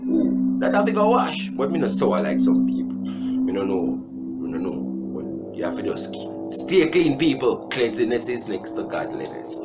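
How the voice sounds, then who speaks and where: A young man with a Jamaican accent chatting casually as a video host, animated and joking, repeating himself for emphasis. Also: mid-range voice, normal speed. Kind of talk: casual storytime chat. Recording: music playing behind the voice.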